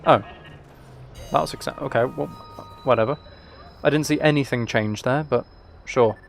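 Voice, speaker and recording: A man's voice: medium pitch, moderate pace, vocal fry, a little hiss or hum. A man giving casual, playful gameplay commentary, often talking to himself. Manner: playful, talks and sings to himself. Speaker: game streamer.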